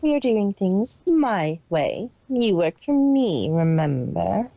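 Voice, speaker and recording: Woman acting icy female pony crime boss, detached, imperious, cruelly amused, mellow tone, dull dry recording. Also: mid-range voice, big pitch swings, takes it slowly, dry recording, exaggerated voice. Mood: cold, commanding. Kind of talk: amateur fan fiction audio reading.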